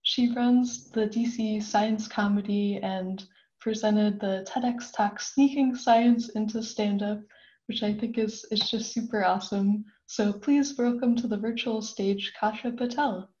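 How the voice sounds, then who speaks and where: A woman's voice: mid-range voice, steady tone, dark tone. A woman hosting an online comedy show, introducing acts with enthusiasm. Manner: enthusiastic, quick. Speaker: show host. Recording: dull lo-fi call audio.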